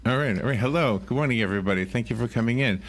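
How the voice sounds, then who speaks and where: A man with a medium-pitched voice hosts a radio show. He talks casually into a studio microphone as he interviews guests. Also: very fast. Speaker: radio host.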